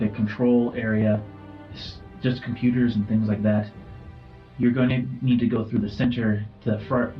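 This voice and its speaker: A man's voice: fairly low pitch, lo-fi sound. Man narrating a tabletop game over music. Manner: halting, improvised storytelling. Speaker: game master. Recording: music under the voice.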